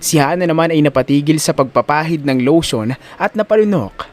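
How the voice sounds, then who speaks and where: A man's voice: fairly high pitch, expressive, brisk. A man narrating a scripted dramatic story as an audio drama narrator.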